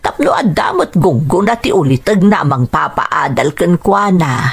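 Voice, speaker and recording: A woman with an Ilocano Filipino accent acting in a radio drama as a calm, appreciative mother and wife with a measured way of explaining. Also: on the low side, creaky, slightly rough, full character voice. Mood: content.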